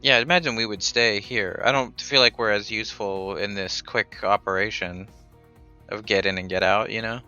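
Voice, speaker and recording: Player, male, lowish pitch with a very bright edge, dull lo-fi mic, hesitant. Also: steady tone, normal speed, smooth, soft background music. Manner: thinking aloud, hesitant. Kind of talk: casual tabletop game chat.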